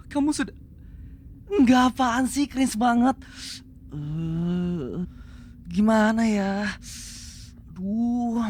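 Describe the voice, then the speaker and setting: A man's voice: sits high, darkish tone, slight background noise. Casting: male actor for a young demon prince, ASMR roleplay, tsundere, cold and imperious yet easily flustered into rambling. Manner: switches between cold menace and flustered casual rambling. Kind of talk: Indonesian ASMR roleplay acting.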